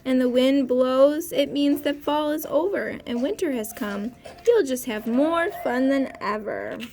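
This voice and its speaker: A woman voicing a friendly squirrel in a storybook, gently explaining and encouraging. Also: high voice, expressive, measured pace. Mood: reassuring. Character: friendly, reassuring squirrel comforting young puppies.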